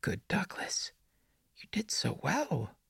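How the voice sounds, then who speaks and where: A man's voice: high voice, huge pitch swings, slow pace, fairly bright tone, no room sound, studio quality, voice pushed up, heavily acted. Man voicing a creepy whispering young boy. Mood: soft coaxing. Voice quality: breathy, whispery. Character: creepy phlegmy prepubescent boy of the woods, eerily coaxing. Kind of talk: audiobook horror character voice.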